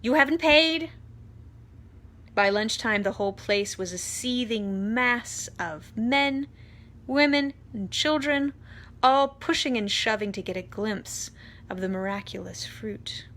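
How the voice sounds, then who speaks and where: A woman reading a children's story aloud expressively, deliberate in manner, with dramatic pauses. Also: mid-range voice, a bit bright. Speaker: storytime reader, priest.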